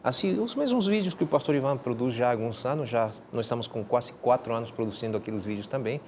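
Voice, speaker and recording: Man, guest pastor, measured, repeats words, mid voice. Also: medium pitch, expressive, darkish tone, slight background noise. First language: Spanish. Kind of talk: casual studio talk.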